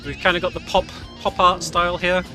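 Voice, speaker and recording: Male game commentator, fairly high voice, casual walkthrough delivery, clear game music behind him. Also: level tone, a little fast, bright, forceful tone. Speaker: speedrunner commentator.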